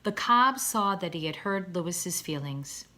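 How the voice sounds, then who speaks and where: A woman reading a storybook aloud to children as a teacher would, expressive and deliberate in her narration. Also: fairly low pitch.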